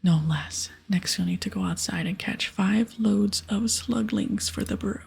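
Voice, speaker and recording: A woman voicing a gruff, bossy male pirate captain in a breathy ASMR whisper, with piratical dialect. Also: medium pitch, unhurried, a little mellow, soft background music, heavily acted. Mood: bossy, explaining. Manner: piratical dialect, explaining and ordering. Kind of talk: whispered ASMR game dialogue.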